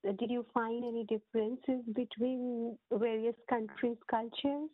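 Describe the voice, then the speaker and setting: A woman with a fairly high voice hosts the interview, asking her questions in polite, formal phrasing. Her audio sounds thin and phone-like, and completely dry. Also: fairly even intonation, unhurried, no room sound. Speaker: host. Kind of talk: interview hosting.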